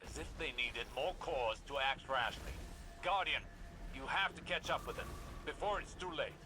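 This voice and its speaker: Man playing a grave, measured game mentor. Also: fairly high pitch, noisy background, close to an everyday voice. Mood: exasperated, urgent. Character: calm, grave male mentor advising by radio. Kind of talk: video game voice acting.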